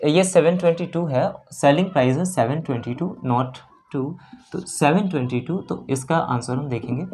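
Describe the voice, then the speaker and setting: A man's voice: fairly high pitch, a little mellow, slight background noise. A man teaching an exam-prep lesson step by step, repeating phrases and mixing in English terms. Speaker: maths teacher.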